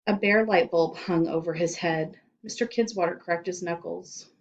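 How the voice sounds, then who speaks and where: A woman's voice: fairly low pitch, normal speed, a little hiss or hum. A woman narrating a children's storybook expressively, in a dull lo-fi recording. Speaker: story reader.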